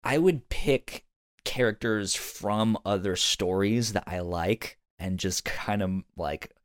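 A man's voice: fairly low pitch, slow, no room sound, clean studio sound. A man co-hosting a review podcast in casual conversation, rambling and often repeating words as he goes. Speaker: podcast co-host.

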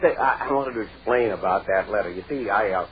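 A man's voice: on the low side, quick pace, natural voice. A muffled, faintly hissy vintage radio recording of a bumbling middle-aged husband, played by a man. He is flustered and hesitant, stammering and exclaiming his way through domestic sitcom dialogue. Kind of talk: 1940s-50s radio sitcom acting.

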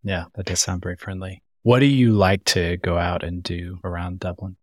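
A man hosting a podcast, reading a measured scripted intro and then asking interview questions. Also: low voice, fairly even intonation, no room sound, studio quality. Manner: measured, smooth presenter delivery. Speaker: podcast host. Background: music under the intro.

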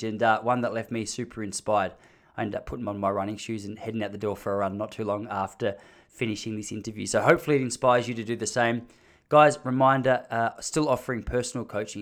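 An enthusiastic man hosts a running podcast, speaking over a remote call. His voice sits at an ordinary middle pitch. He chats with a lot of energy and a few fillers. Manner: enthusiastic, chatty, many fillers. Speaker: podcast host and running coach. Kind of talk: casual podcast interview.

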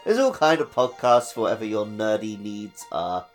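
A man's voice: on the low side, lively intonation, normal speed, soft background music. A man co-hosting a gaming podcast, speaking in a casual, conversational way. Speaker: podcast co-host.

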